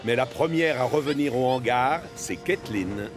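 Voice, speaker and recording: A man's voice: medium pitch, expressive, normal speed. A man reading scripted narration for a children's cartoon. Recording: music behind the voice.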